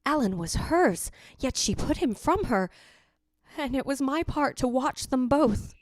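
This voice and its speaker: A woman's voice: sits high, animated, unhurried, no echo. Woman acting a bitter, jealous ghost wife. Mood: anguished, wounded. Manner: theatrical, literary, anguished. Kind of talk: old-time radio drama narration.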